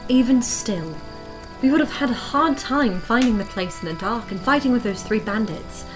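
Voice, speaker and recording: A plucky young spellcasting adventurer played by a woman. Her voice is fairly high and very animated, with music behind it, in a fantasy radio play. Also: normal speed, natural voice, low-quality recording. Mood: warm. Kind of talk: fantasy audio drama acting.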